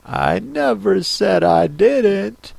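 A woman voicing a polite, curious, easily affronted young girl in a storybook, with careful, drawn-out delivery. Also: medium pitch, expressive, vocal fry, darkish tone, slightly rough, dry recording, pitched above the performer's own voice, full character voice. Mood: indignant.

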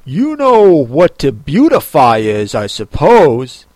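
A woman voicing a brusque, scornful storybook beast who scolds in a loud, emphatic, bossy way. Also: sits low, wildly swooping pitch, slow, dry recording, heavily acted.

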